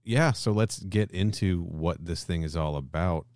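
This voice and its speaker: Very deep-voiced man chatting on a podcast. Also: a little slow, darkish tone, clear, resonant tone, no room sound, clean studio sound. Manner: casual swearing, frequent check-in questions. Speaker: podcast co-host. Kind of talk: casual film discussion podcast.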